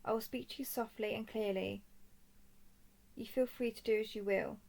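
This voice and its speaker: A woman acts out a young dragon princess in a fantasy audio drama. Her voice sits a little high, with a noticeable creak at the edges. She speaks softly and formally, choosing words with deliberate care, a composed captor full of curiosity. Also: fairly even intonation. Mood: formal, probing. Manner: formal, deliberate, soft-spoken. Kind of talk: scripted roleplay audio drama.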